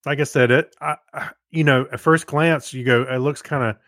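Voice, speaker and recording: A male podcast co-host with a medium-pitched voice, recorded close and dry. He talks casually, explaining his points in an easy conversational manner with some amusement. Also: fairly even intonation, moderate pace, fairly bright tone, dry recording. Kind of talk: casual chat.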